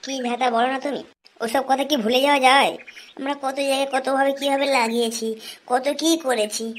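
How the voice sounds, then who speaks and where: A woman plays a scornful young cartoon girlfriend. Her voice is on the high side and quick, crude and teasing, over faint noise. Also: fairly even intonation, close to an everyday voice. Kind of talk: comic cartoon dialogue.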